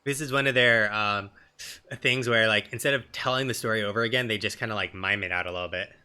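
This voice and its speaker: A man's voice: on the low side, fairly even intonation, fast talker, sharp tone, clear, resonant tone. A man giving casual, blunt let's play commentary with some swearing. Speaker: game streamer doing a let's play. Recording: game music behind the voice. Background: video game music.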